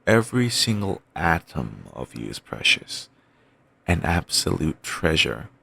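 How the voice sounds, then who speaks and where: A man voicing an obsessive, doting young boyfriend, rambling softly in a bedtime comfort roleplay. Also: deep voice, big pitch swings, unhurried, gravelly, slightly rough, heavily acted. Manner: soft, rambling, affectionate murmuring.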